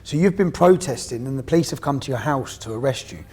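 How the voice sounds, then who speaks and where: A man's voice: medium pitch, animated, quick pace, a little mellow, slightly rough. A man presenting a documentary, narrating and interviewing in short, emphatic phrases.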